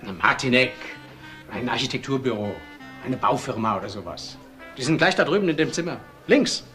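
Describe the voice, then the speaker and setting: An excitable restaurant landlord acted by a man in a radio crime drama. He has a mid-pitched, forceful voice with swooping intonation and a brisk, suspicious manner. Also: soft background music, lightly acted. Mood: helpful, flustered.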